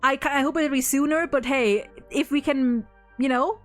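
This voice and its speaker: Excitable chatty girl streamer, highish voice, swears a bit, faint music under her. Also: moderate pace. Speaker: streamer host. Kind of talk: casual livestream chat.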